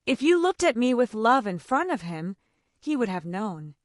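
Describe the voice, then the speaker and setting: Brief: woman reading as an intense, tender young heir, soft earnest pleading, expressive lilt, dry close sound. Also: a little high, normal speed, dry recording.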